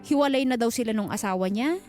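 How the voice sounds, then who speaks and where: A woman's voice: fairly high pitch, fast talker, darkish tone. A woman co-hosting a radio advice show, chatting casually with a caller in a frank, teasing way. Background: background music.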